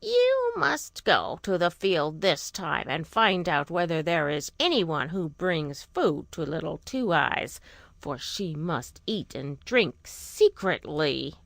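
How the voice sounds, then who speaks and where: A woman voicing a suspicious, bossy mother in a children's fairy tale, speaking in a stern, deliberate manner. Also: low voice, very animated, vocal fry, a bit bright, no room sound, clean studio sound, pitched below the performer's own voice, heavily acted.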